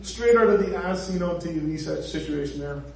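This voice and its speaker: A man's voice: fairly high pitch, normal speed, creaky, a little hiss or hum. A man from Northern Ireland with a Northern Irish accent, giving a conversational sermon with jokes and asides to the congregation. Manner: chatty and joking, with asides to the congregation. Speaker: preacher. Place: church hall. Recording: echoey hall, far from the mic.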